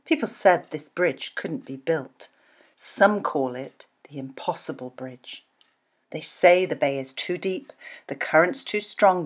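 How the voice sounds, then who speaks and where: A middle-aged woman with a slightly husky voice, presenting in a deliberate, explanatory way to children as a school librarian. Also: low-pitched. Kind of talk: educational on-location narration for children.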